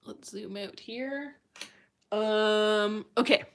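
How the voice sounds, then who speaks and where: A woman's voice: medium pitch, extremely slow, gravelly, fairly bright tone. A young woman hosting a livestream, talking in an effusive, enthusiastic way about viewer submissions. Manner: effusive and enthusiastic, gushing over what she reads. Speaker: livestream host. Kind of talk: live-streamed chat reading viewer submissions.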